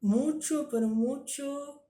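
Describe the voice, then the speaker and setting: A man's voice: very high voice, takes it slowly, mellow. Young guy w brazilian accent, hesitant, lots of ehs, echoey room. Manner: hesitant, many fillers, trailing off. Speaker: guest. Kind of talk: casual interview answers.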